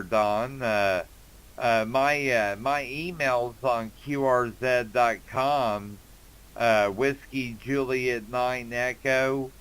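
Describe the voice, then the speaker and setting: Male radio amateur, 40s, American, very clear tone, leisurely folksy delivery over a casual contact. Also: medium pitch, a bit bright, poor audio. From Indiana, United States.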